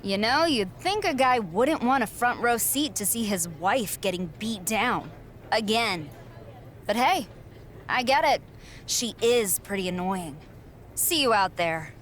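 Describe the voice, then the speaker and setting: A woman's voice: medium pitch, lively intonation, unhurried, a bit bright, slight background noise. A woman playing a cocky, self-assured champion wrestler in a video game cutscene, with sarcastic delivery. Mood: mocking.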